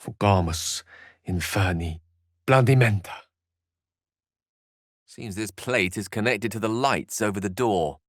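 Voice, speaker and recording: A man's voice: on the low side, measured pace, long pauses, no echo, studio quality, natural voice. An actor playing an erudite, witty and self-assured wizard in a video game, eloquent and theatrical in his wordy delivery. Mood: intense, determined. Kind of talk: video game character acting.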